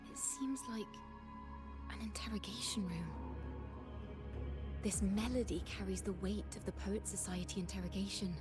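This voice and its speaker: A young female musician-guide played by an actress speaks in a medium-pitched voice. She is gentle, poetic and thoughtful, in polished game dialogue laid over clearly audible music. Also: fairly even intonation, normal speed, long pauses, lightly acted. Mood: alert, cautioning. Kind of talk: video game voice acting.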